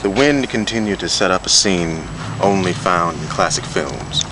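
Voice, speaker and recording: Very low-voiced man reading fiction, noisy recording. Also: normal speed. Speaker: reader of a novella. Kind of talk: literary prose reading, storytelling. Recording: noisy amateur recording with steady background hum.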